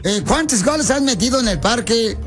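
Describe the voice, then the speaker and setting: A man's voice: very high-pitched, expressive, fairly quick, a bit bright, faint music behind. A man playing a pushy male soccer scout on a prank call, talking in a drawl full of slang and fillers. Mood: teasing.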